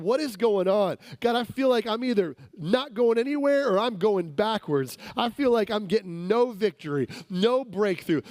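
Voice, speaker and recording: A man's voice: high voice, a little mellow, dry recording. A man preaching a sermon to his congregation, emphatic and repeating words for stress, his voice strained from recent sickness. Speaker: pastor.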